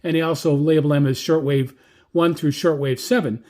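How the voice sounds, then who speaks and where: An American man giving a casual, unscripted product review as a gadget reviewer, with a halting, self-correcting delivery. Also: fairly high pitch, fairly even intonation, darkish tone. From United States. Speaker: radio reviewer.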